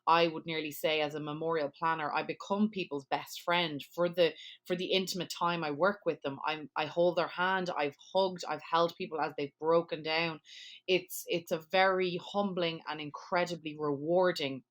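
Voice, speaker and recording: Low voiced woman hosting a podcast, chatty and a bit self-deprecating. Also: fairly even intonation, normal speed. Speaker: podcast host, memorial planner. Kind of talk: podcast interview.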